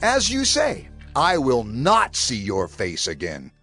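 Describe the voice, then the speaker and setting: A man's voice: medium pitch, wildly swooping pitch, slow, sharp tone, soft background music, full character voice. A man playing a bold prophet confronting a king in a scripture drama, declamatory, emphatic and defiant.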